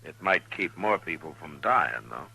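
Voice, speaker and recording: An actor playing a steady, watchful marshal in an old-time radio western, speaking in a measured, probing, laconic way. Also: male voice, very deep voice, gravelly, slightly rough, exaggerated voice, rough recording. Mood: probing, casual. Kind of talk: old-time radio western drama, partly first-person narration. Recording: old radio recording with music.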